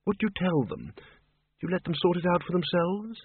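A man voicing a very old undead wizard in an audiobook, musing wryly in a soft, hesitant, thoughtful way. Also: sits high, moderate pace, phone-quality sound, slight background noise, pitched above the performer's own voice, lightly acted.

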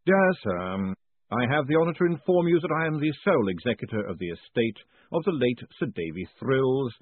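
A man's voice: mid-range voice, sing-song, a little fast, slightly rough, no echo, pitched above the performer's own voice. A man voicing ghostly talking letters in an audiobook, each read out in a stiff, recited character voice. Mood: clipped, formal. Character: talking letters: country boy, clipped lawyer, regretful officer. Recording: muffled phone-like audio.

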